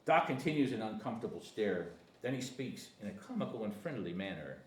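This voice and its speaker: A man's voice: lowish voice, big pitch swings, a little fast, recorded from a distance. A man reading stage directions at a comedy screenplay table read, sometimes stumbling over words. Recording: echoey room with faint noise.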